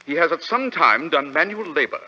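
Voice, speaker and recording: On a dull old radio recording, a British gentleman detective with a very sharp, forceful voice. He is commanding and precise, with a lively swing in his lines and a teasing intellect. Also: on the high side, a little fast, heavily acted. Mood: assured. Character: confident, brisk English gentleman detective with keen, teasing intellect. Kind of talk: 1940s radio drama acting.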